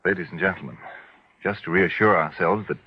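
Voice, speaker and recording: A man's voice: low-pitched, brisk, slightly rough, no echo. A man announcing in 1940s radio style, formal and emphatic, with a polished sales delivery. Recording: muffled old radio recording.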